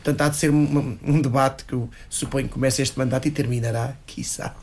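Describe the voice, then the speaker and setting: A man's voice: mid-range voice, fairly even intonation, darkish tone. A man with a European Portuguese accent, arguing as a local politician on a panel, restarting and repeating words as he pushes his point. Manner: fast, restarts often, repeats words, argues insistently.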